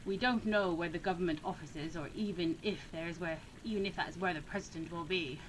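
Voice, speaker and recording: Stern, low-toned male mentor voiced by a woman. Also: fast talker, slight background noise, close to an everyday voice, rough recording. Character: stern, commanding male mentor, terse and cold. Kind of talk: visual novel read-aloud.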